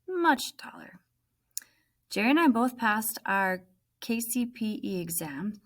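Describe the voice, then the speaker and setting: A woman reads a children's story aloud like a teacher to a class. Her pitch is average, and she narrates expressively, leaning on key words. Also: gravelly. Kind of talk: children's book read-aloud, scripted first-person narration.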